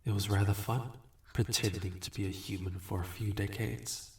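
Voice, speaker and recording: A man playing a suave, amused demon in a fantasy audio roleplay, condescending and teasing with unhurried courtesy. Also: on the low side, steady tone, gravelly, a little mellow. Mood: tempting, amused. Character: suave, amused, bargaining male demon, ageless and smug. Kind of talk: scripted fantasy audio roleplay addressed to listener. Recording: roomy echo, distant from mic.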